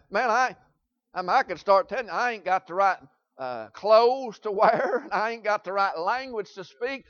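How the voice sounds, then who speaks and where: A man preaching a sermon in a Southern US accent, folksy and repetitive, swinging between loud shouts and quiet asides. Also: sits high, no echo, dull audio.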